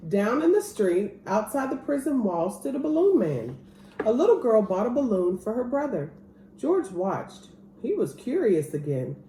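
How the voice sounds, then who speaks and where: A woman reads a picture book aloud to children with very animated intonation. Her voice is fairly high and a little mellow, recorded in an echoey room. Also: moderate pace. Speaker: storyteller reading aloud. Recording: roomy echo, clear background noise.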